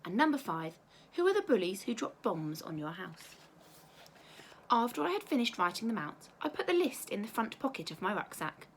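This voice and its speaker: A woman teacher reading a children's storybook aloud in an expressive, measured storytelling way. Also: medium pitch, a little hiss or hum.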